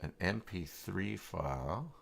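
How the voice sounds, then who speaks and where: Male live-stream tutorial host: unhurried, creaky low register, casual and tentative, talks himself through the screen. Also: expressive, a bit bright. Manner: hesitant, muttering to himself while searching. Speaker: live stream host demonstrating website software. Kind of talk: casual screen-share tutorial, thinking aloud.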